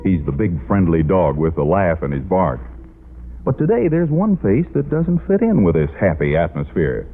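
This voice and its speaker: A man's voice: sits low, big pitch swings, moderate pace. A tinny vintage broadcast with music behind a male announcer. He reads in polished 1940s radio style, with big swings in intonation and a storybook tone.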